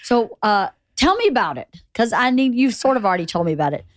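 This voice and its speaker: A hoarse woman hosting a casual podcast chat, teasing and asking quick questions. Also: on the high side, sing-song, no echo, studio quality. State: hoarse, partly lost voice. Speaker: podcast host.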